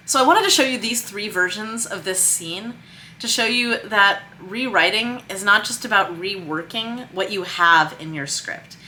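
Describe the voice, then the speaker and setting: A woman's voice: mid-range voice, bright, forceful tone. A woman talking casually and emphatically, thinking aloud as she explains her work in a vlog. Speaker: screenwriter and vlog host. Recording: noticeable background noise.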